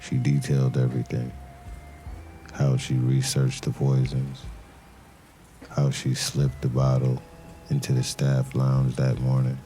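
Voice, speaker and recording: An actor playing a weary, brooding detective who narrates his case in an audio crime drama with somber, deliberate pauses. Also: a bass voice, completely flat delivery, dark tone, slight background noise, rough recording. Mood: grave, brooding.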